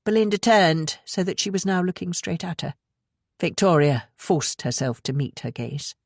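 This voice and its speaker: A woman with a husky voice reading a novel aloud in a measured, expressive storytelling manner. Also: on the low side, no echo, studio quality. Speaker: audiobook narrator. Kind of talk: scripted fiction narration.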